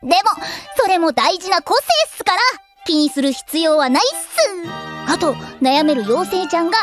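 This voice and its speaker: A woman's voice: extremely high pitch, normal speed, faint music behind. A woman playing a laid-back, breezy anime girl hosting a parody radio show with casual slangy endings. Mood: reassuring.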